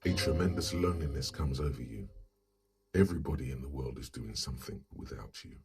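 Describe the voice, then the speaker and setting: A man playing an ominous, wry inner voice, narrating a video game in a solemn, deliberate, literary manner. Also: extremely low pitch, lively intonation, gravelly, a little mellow, slightly rough, full character voice. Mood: bleak, ominous. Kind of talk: scripted second-person game narration.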